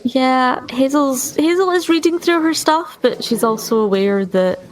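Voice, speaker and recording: A woman's voice: high-pitched, lively intonation, measured pace, rough recording. Woman casually narrating in a tabletop game.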